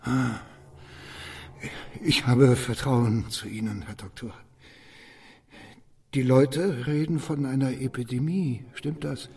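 A man acting a suspicious, secretive loner afraid of arrest in a radio drama, uneasy, halting and over-insistent. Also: medium pitch, vocal fry, darkish tone, slightly rough, heavily acted. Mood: furtive, wary. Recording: distant from the microphone.

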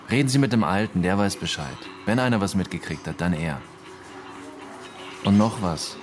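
An actor playing an evasive, irritable man under questioning in a German radio drama, talking in a curt, slangy way. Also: deep voice. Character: defensive, evasive man questioned about dead classmate.

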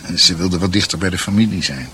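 An actor playing an older doctor in a radio drama, musing hesitantly and in a measured way over his family's history, with a gravelly voice. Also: low voice, pushed and bright, a little hiss or hum, poor audio. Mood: reflective, nostalgic. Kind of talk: Dutch radio drama acting.

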